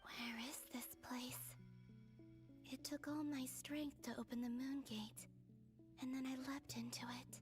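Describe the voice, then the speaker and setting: A woman playing a weary, wary but resolute heroine in a video game, speaking in a hushed, breathy voice. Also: sits high, steady tone, measured pace, soft background music. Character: weary, wary, resolute woman stranded alone in a strange realm. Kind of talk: game voice acting, inner monologue.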